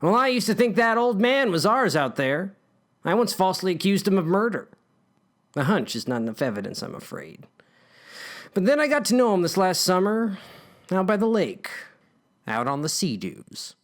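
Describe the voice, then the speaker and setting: A man's voice: high-pitched, very animated, normal speed, pauses often. A man voicing a smug, menacing older brother in a comic story, theatrical and conspiratorial. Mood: brooding, conspiratorial. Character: menacing, smug bully older brother telling dark hunches.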